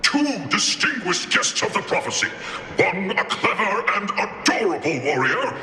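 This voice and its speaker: A man playing a grandiose, flattering androgynous performer in an anime game, theatrical in delivery, in an echoey sound. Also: high voice, unhurried, slight background noise, exaggerated voice. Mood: theatrical, welcoming. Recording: echoey reverberant hall sound.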